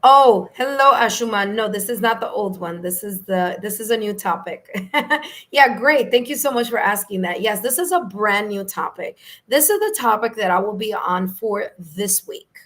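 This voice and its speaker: Raspy-voiced New York woman streaming. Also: medium pitch, expressive, normal speed, fairly bright tone. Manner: friendly, chatty, laughs easily. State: slightly hoarse, raspy voice. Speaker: tarot reader hosting a live stream. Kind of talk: casual live-stream chat, greeting viewers.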